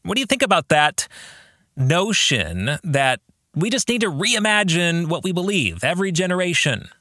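A man's voice: sits high, sing-song, moderate pace, pushed and bright, dry recording, clean studio sound. A man hosting a podcast, speaking in an earnest, reflective way and often putting rhetorical questions to the listener. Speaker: podcast host. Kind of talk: reflective book discussion addressed to listeners.

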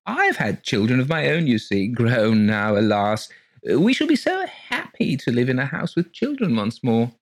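A man's voice: medium pitch, huge pitch swings, moderate pace, no echo, voice pushed up. A man voicing a gushing, fluttery middle-aged woman who is eager to rent rooms. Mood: effusive. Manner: effusive, breathless, fluttery.

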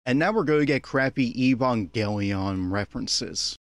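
A man's voice: mid-range voice, moderate pace, no room sound. A man giving an unscripted running commentary in a critical, exasperated, opinionated manner. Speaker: commentator on an anime episode.

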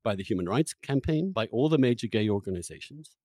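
A man interviewing in an argumentative style, insistent and quick to cut in and challenge the other person. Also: mid-range voice, expressive, a little mellow, no echo, studio quality.

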